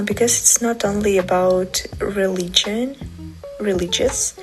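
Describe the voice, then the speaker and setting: A woman in her twenties from Ukraine, speaking English, answering interview questions carefully, pausing and restarting words. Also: medium pitch, gravelly, poor audio. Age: 20s. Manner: careful non-native English with pauses and restarts.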